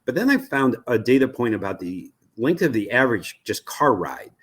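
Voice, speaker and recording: A man's voice: medium pitch, very animated, normal speed, breathy edge. A man with an American accent giving a conversational expert interview, explaining things and thinking aloud with frequent asides. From United States. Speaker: media research executive, interviewee.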